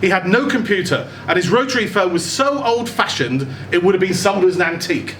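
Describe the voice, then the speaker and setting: A man giving an expressive live reading of a first-person short story. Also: sits high, fairly quick. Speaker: story reader. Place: indoor event venue with audience. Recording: echoey room, clear background noise.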